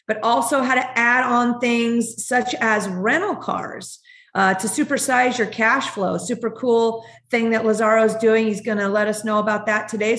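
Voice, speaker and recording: A woman's voice: on the high side, a bit bright. A woman hosting a real estate podcast, upbeat and bubbly, introducing guests and asking questions. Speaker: podcast host. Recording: roomy echo.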